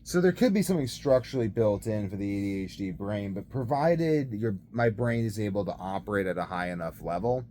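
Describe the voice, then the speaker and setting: A man's voice: lowish voice, lively intonation, fairly quick, hardly any pauses, mellow. A man rambling casually through a personal video diary, hesitant and thinking aloud as he goes. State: sleepy. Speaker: vlogger. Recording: clear background noise.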